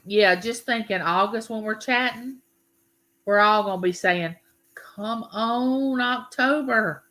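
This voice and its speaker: A woman's voice: a little high, slow pace, bright, forceful tone. A woman in her fifties with a Southern US accent, chatting casually with viewers as host of her own livestream, folksy and drawn out. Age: 50s. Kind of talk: casual live chat with viewers.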